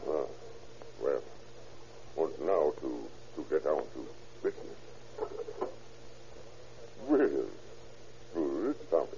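A sinister scientist. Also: male voice, very deep voice, very animated, takes it slowly, pauses often, dark tone, slight background noise, heavily acted. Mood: gloating. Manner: stammering, sly, with menacing chuckles. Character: sinister German scientist, middle-aged man, murderous. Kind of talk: 1940s radio acting. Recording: dull old radio recording.

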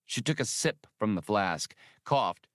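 A man's voice: fairly low pitch, lively intonation, unhurried, fairly bright tone, no echo, clean studio sound. A man reading scripted adventure fiction as an audiobook narrator. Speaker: audiobook reader.

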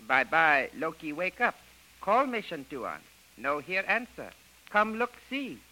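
Sharp-voiced servile jungle guide. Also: male voice, on the high side, big pitch swings, measured pace, full character voice, poor audio. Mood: deferential, guarded. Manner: broken English, clipped phrases, servile. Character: deferential, evasive native male jungle guide. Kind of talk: old-time radio adventure acting. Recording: vintage radio recording.

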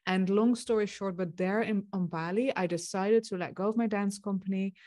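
A middle-aged woman from the Netherlands with a Dutch accent, talking earnestly as an interview guest, often pausing with fillers and restarting her sentences. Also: medium pitch, steady tone, a little mellow. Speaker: guest, success coach. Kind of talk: conversational interview.

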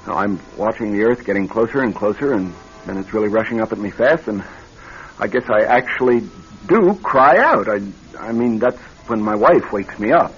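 A dull, band-limited old radio drama recording carries a nervous young executive. He is a lowish-voiced man who talks earnestly and haltingly, with lively swings of pitch. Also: slight background noise. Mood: troubled. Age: young adult. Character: nervous young married executive troubled by nightmares.

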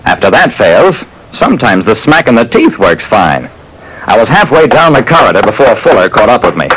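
A man's voice: medium pitch, big pitch swings, brisk, a bit bright, poor audio. A man playing a tough, wry private detective who narrates his cases in dramatic vintage radio style. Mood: dry, wry. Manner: wry, dramatic, clipped storytelling. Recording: old radio recording with faint noise.

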